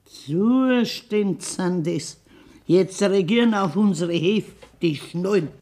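A woman's voice: on the low side, slow, mellow. An actress playing an indignant old farm grandmother in a German radio drama, accusing and disapproving in Bavarian dialect. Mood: scornful, indignant.

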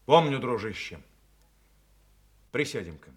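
An actor playing an ailing, middle-aged war-veteran commander in a Soviet radio play, sighing, plain-spoken and courteous. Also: male voice, mid-range voice, expressive, measured pace, natural voice. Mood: weary, resigned.

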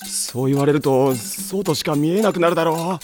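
An actor playing a timid, anxious teenage athlete in an anime audio drama, hesitant and nervous. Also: male voice, a little high, moderate pace, a little mellow, faint music behind, close to an everyday voice.

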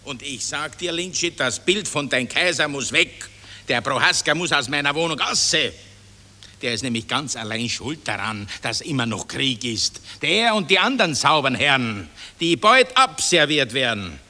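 Radio play role: Viennese working-class firebrand father, bright forceful voice, swinging pitch, scornful ranting. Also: sing-song, moderate pace, in a large hall. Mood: scornful, agitated. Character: hot-tempered class-conscious socialist father, scornful Viennese grumbler. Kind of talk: 1960s German-language radio drama acting.

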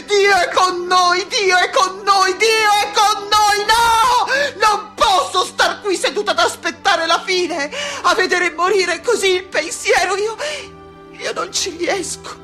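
An actress playing an anguished, defiant, aging woman scholar in a radio play, speaking in halting, impassioned repetitions. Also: very high-pitched, bright, forceful tone, exaggerated voice. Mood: desperate, crying out. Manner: halting, repeating words, impassioned. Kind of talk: dramatic radio theatre monologue. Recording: music under the voice. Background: music.

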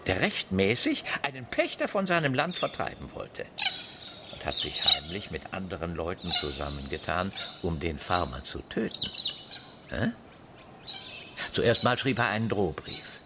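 Sly, taunting authority figure. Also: male voice, deep voice, vocal fry, background noise, full character voice. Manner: sly, mocking, drawn-out storytelling. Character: menacing, taunting man of authority grilling a boy. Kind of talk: German radio drama acting.

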